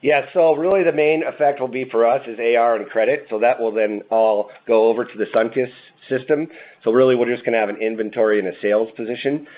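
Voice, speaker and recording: A man's voice: mid-range voice, fast talker. A man answering as a company executive on an earnings call. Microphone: conference call phone line. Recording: tinny narrow phone-line sound.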